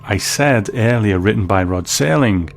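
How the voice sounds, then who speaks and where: A man with a low voice presents as a host, narrating a scripted commentary on old television and radio. Soft music plays lightly behind him. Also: lively intonation, unhurried, gravelly.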